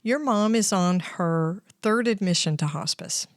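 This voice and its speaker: A woman hosts a podcast interview in a mid-range voice. She asks brisk, friendly questions and makes short comments. The sound is dry and close. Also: mid-range voice, no room sound. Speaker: podcast host. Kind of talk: interview hosting.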